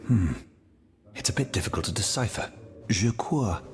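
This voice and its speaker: An actor playing a learned, courtly older gentleman astronomer in a video game, genteel and thoughtful. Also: fairly low pitch, expressive, moderate pace, a little hiss or hum. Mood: genteel, pondering. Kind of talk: video game dialogue acting.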